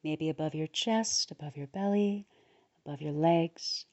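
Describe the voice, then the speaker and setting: Low, mellow woman guiding a meditation. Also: dull audio. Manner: soothing, unhurried, with pauses between short phrases. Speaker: guided meditation leader, podcast host. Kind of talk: guided relaxation meditation narration.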